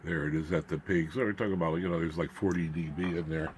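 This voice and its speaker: A man giving an informal, unscripted electronics demonstration, haltingly, muttering asides as he works with his hands. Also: low voice, fairly even intonation, slight background noise. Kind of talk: informal unscripted technical show-and-tell.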